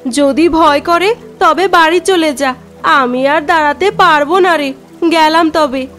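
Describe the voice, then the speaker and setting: Woman doing a hurried village neighbor, cartoon, high voice, dismissive. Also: fairly bright tone, faint music behind. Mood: brisk.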